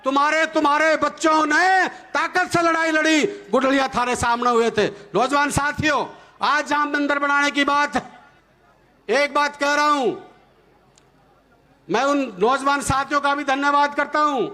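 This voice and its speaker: A man with a Haryanvi accent addresses a political rally as a community leader. His voice is extremely high for a man, with a bright, tense, forceful edge. He speaks defiantly and emphatically, hammering phrases through repetition and rhetorical questions. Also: steady tone, moderate pace. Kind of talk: fiery political rally speech.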